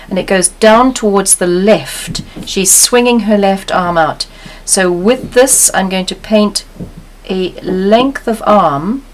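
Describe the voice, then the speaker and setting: Woman, painting instructor, calm step-by-step explanation, mid pitch; talking while she paints. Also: low-quality recording. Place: studio table, overhead camera on canvas.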